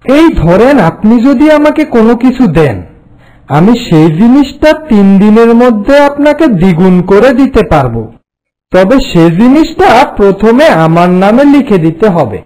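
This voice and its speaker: A man voicing a polite, sly village trickster in a cartoon folk tale, with a coaxing, smooth-talking way. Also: sits high, big pitch swings, moderate pace, warm tone, rough recording.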